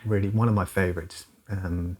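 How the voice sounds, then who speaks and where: A man from South Wales, calmly breaking down chords and modes in a music lesson. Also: deep voice, normal speed. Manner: relaxed, thoughtful, casual with fillers. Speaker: music teacher. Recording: song playing loudly behind.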